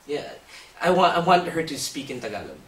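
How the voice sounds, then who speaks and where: A man's voice: on the high side, sing-song, fairly quick, a bit bright. A man with a Filipino accent hosting a casual talk show interview, chatty and hesitant, with many uhs and some Tagalog. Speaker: host. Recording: roomy echo, mic at a distance, faint background hiss.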